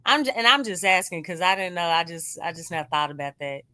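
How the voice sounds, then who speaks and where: American lady co-hosting a sports stream, bright forward voice, casual n playful. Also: lowish voice, animated, a little fast. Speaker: sports show co-host. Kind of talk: casual live sports chat.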